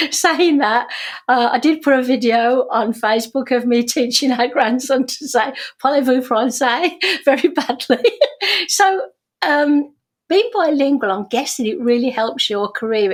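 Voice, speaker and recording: An older woman with a British accent hosting a casual interview in a chatty, effusive and self-deprecating way. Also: high voice. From Britain. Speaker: interview host.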